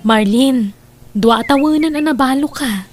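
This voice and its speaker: A woman's voice: high voice, unhurried, soft background music. Coaxing woman friend, played by an actress. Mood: curious, encouraging. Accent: Ilocano. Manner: conversational, coaxing. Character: encouraging, curious woman friend of a widow. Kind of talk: audio drama acting.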